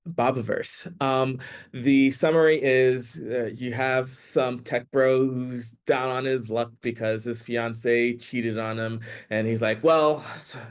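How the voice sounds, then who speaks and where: A man's voice: medium pitch, fairly even intonation, slow. A man in a book club casually recommending a read, hesitant and feeling for his words. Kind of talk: casual chat, recommending books.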